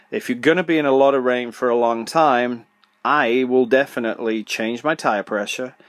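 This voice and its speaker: A middle-aged man talks straight into a close podcast microphone, practical and direct, asking questions and answering them himself. His voice sits at an ordinary male pitch. The recording is dry, with no room sound. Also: fairly bright tone, no echo. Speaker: podcast host, motorcycle suspension tuner. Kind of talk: solo podcast advice talk.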